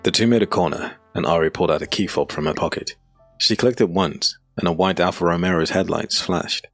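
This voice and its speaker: A man reads dark fantasy narration for an audio drama. His voice is deep and low, and he tells the story from a script with music playing faintly underneath. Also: brisk, dry recording.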